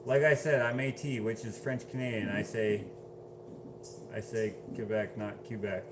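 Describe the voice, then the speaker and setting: A man's voice: lowish voice, little pitch movement, moderate pace, background noise. A man casually chatting on a livestream, reading viewer comments aloud and laughing often. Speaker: livestream host.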